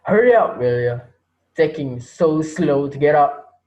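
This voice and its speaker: A man's voice: on the high side, expressive, measured pace, a little mellow, highs cut off. A man acting as a menacing male teacher who mocks and taunts the class he holds hostage, in an amateur reader's theater drama. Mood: commanding. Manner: taunting, laughs at his victims.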